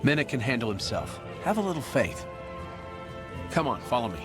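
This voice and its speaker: An actor playing a gruff, guarded male resistance veteran in a video game. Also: medium pitch, very animated, moderate pace, loud music behind the voice, natural voice. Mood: guarded, assured. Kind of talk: video game cutscene acting.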